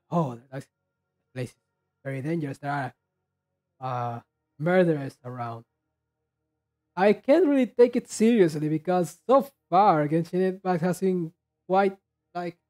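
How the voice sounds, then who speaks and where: A man giving casual commentary as a reaction video host, rambling haltingly and often repeating and restarting his words. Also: high-pitched, expressive, darkish tone, soft background music. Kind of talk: casual commentary on game trailers.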